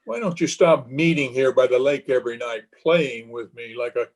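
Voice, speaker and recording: A man's voice: medium pitch, normal speed, lightly acted. A man playing a bitter, weak-willed philandering husband in an old-time radio melodrama, agitated and theatrical. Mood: pleading, infatuated. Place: remote recording over Zoom.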